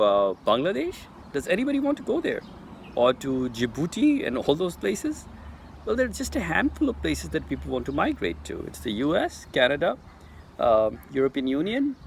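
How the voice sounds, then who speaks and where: Gravelly Pakistani man with an insistent tone. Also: mid-range voice, a little hiss or hum. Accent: Pakistani English. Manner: earnest, insistent, addresses Americans directly. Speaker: political commentator. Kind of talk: direct-to-camera monologue.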